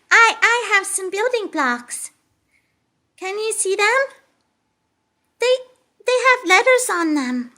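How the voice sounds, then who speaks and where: A puppeteer voicing a sweet, excitable childlike lamb puppet with a squeaky voice and halting, giggly manner for a children's show. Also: exaggerated voice. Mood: cheerful.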